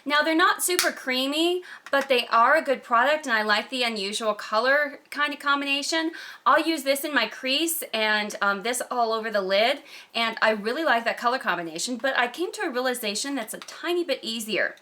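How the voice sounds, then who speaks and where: Bright, slightly croaky woman chatting about favorites. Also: sits high, lively intonation, moderate pace. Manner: chatty, emphatic, gushing. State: slightly croaky from recovering from a cold. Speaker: beauty vlogger. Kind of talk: casual product review chat to camera.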